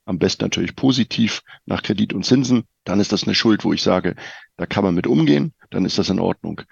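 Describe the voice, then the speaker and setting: A man's voice: low voice, a little fast, vocal fry, husky, no room sound, muffled recording. A middle-aged man giving direct, informal advice as a guest financial coach, explaining things with everyday examples. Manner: direct, informal, addresses listener as you.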